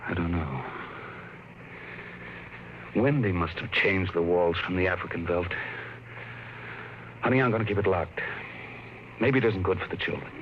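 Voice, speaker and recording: A man's voice: low-pitched, normal speed, long pauses, lightly acted. A man playing a worried middle-aged father who talks to his children in a firm, stern manner, in 1950s radio drama acting. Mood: uneasy. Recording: muffled hissy 1950s radio broadcast.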